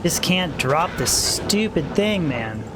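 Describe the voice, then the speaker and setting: A man's voice: fairly high pitch, very animated, takes it slowly. A man livestreaming a game with casual, rambling, slangy commentary, self-deprecating, his voice a little tired. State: voice tiring. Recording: clearly noisy background.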